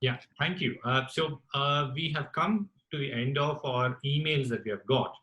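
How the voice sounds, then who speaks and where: A man acts as host of an online group call. He speaks informally as he moderates, with a middle-range pitch for a man. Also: normal speed, bright, forceful tone.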